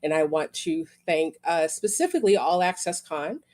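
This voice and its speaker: A woman's voice: deep voice, animated, moderate pace, darkish tone. A woman presenting at an awards show in a casual, off-the-cuff way as one of its organisers.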